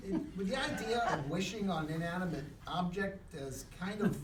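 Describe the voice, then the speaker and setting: A man playing straight man to a puppet in a live comic dialogue, questioning haltingly, recorded in an echoey classroom. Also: sits high, animated, a loud crowd. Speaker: Sunday school teacher, straight man to a puppet. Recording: echoey room, distant mic, faint noise.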